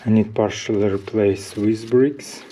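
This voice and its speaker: Man in his 30s, home renovator, slow and warm, halting explanations to a handheld cam. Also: fairly low pitch, fairly even intonation, a little hiss or hum, rough recording. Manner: plain, halting, stops to point out details. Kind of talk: casual explaining to camera. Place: inside an old brick farmhouse under renovation. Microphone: action camera held at arm's length.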